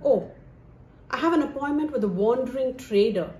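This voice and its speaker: A woman's voice: high-pitched, expressive, moderate pace, dark tone. A woman playing a greedy, scheming middle-aged stepmother in an amateur comedy, theatrical and complaining. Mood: smug, scheming. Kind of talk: amateur comedy stage-style acting. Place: indoor home living room. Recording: echoey room with faint noise.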